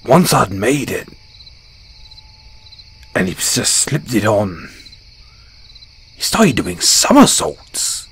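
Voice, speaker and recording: Man playing deep, folksy dwarven blacksmith. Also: sing-song, slow, gravelly, long pauses, fairly bright tone, slight background noise, exaggerated voice. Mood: fond wonder. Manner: folksy, chuckling, hesitant storyteller. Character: kind, modest, battle-scarred dwarven blacksmith, hearty adventurer. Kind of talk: fantasy audio roleplay, spoken to a companion.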